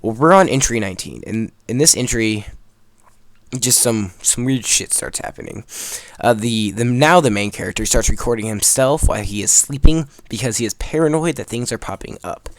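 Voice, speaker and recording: A man's voice: mid-range voice, moderate pace, no echo. A young man telling a story in a casual, rambling, emphatic way, swearing freely as he goes. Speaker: video creator telling a story over gameplay.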